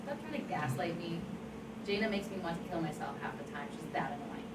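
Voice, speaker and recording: A woman's voice: lowish voice, fairly quick, far from the mic, natural voice. Prickly teenage suspect acted by a woman, echoey. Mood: indignant. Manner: combative, indignant. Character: defensive, prickly seventeen-year-old suspect. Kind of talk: amateur table read of a crime script. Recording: very noisy, echoey room from afar.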